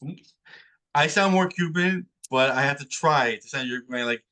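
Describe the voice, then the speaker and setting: A man from New Jersey, a guest in a casual interview, talking in a halting way and repeating words as he thinks. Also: a little high, bright, forceful tone. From New Jersey, United States.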